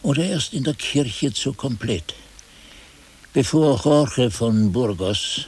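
A male actor playing a troubled old monk narrating his memories in a radio drama, husky, hesitant and searching. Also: medium pitch, expressive, slow pace, a little mellow, exaggerated voice. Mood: confused, reflective. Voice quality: husky, raspy.

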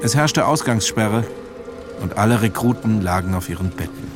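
Ein Schauspieler als sachlicher Erzähler einer literarischen Kriegsgeschichte im Hörspiel, gemessen und nüchtern vorgetragen. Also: male voice, lowish voice, expressive, close to an everyday voice. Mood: sober. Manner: measured, sober. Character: sober male narrator of a war story. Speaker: narrator. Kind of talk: literary scripted narration. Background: music.

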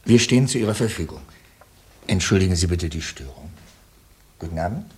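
A courteous but officious bank official in a radio play. The man's voice is low, and his phrasing is formal, correct and insistent. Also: expressive, brisk. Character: polite, officious Parisian bank official, a man. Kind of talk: German radio play acting.

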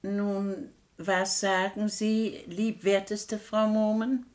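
A woman's voice: mid-range voice, flat delivery, slow pace, darkish tone, natural voice. A woman playing a fussy, status-proud small-town matron in a comic radio drama, with effusive, exaggerated politeness. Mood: indignant gossip.

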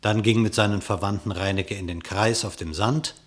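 A man narrating a verse fable in a radio play, in a measured storytelling manner. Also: lowish voice, fairly even intonation.

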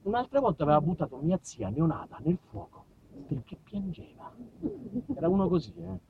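A man's voice: on the high side, sing-song, brisk, gravelly, a little hiss or hum. A man with a southern Italian accent performing a colloquial, theatrical autobiographical monologue with dramatic shifts of delivery. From southern Italy. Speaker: actor and storyteller. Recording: dull lo-fi stage recording, far from mic.

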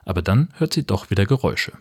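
A man with a fairly low voice hosts a podcast. He chats casually and narrates scripted comedy. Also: very animated, fast, husky. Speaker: podcast host.

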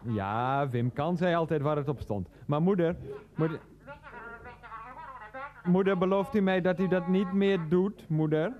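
An actor playing a hapless, earnest middle-aged husband and son in a radio play, hesitant, explanatory and easily defensive. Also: male voice, on the high side, lo-fi sound, lightly acted. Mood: mildly exasperated. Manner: hesitant, explanatory, petulant with his mother. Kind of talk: radio play acting, monologue and phone dialogue.

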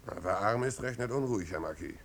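A man's voice: lively intonation, quick pace. An actor playing a humble, plain-spoken village man, earnest, firm and deferential, in a 1950s German radio drama. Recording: old radio recording, rumbling background noise.

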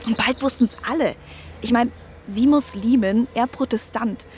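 A woman's voice: a little high, normal speed, darkish tone, a little hiss or hum, close to an everyday voice. An actress playing a self-willed, educated, outspoken young woman in a conversational radio drama. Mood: admiring, animated. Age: young adult.

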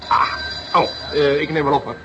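An actor playing an eager, sceptical junior police detective in a Dutch radio play, with a prodding, questioning manner. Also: male voice, a little high, fairly even intonation, fairly quick, lightly acted. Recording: muffled, noisy radio drama recording.

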